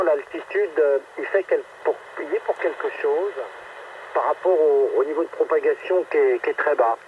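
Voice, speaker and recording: Through a thin, tinny radio link full of noise comes a man's voice, sounding far away. He is an amateur operator in a casual on-air chat. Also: on the high side. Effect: radio transmission. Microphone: radio microphone heard through a receiver. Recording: very noisy, band-limited, distant radio link.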